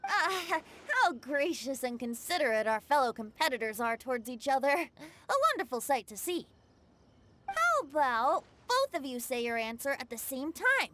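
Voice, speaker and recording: A woman's voice: high voice, big pitch swings, moderate pace, sharp tone, slight background noise, exaggerated voice. A woman voicing a gracious, cheerful young event host in a video game, polite and encouraging. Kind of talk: video game character acting.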